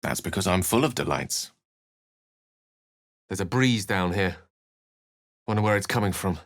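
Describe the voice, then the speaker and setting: Low-pitched scholarly wizard, very animated intonation, eloquent, courteous, wry, theatrical fantasy game delivery. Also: male voice, no room sound, clean studio sound, heavily acted. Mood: wry, measured. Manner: eloquent, formal, measured and dramatic. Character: erudite, courteous adult male wizard, wry and melancholy. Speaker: video game companion character.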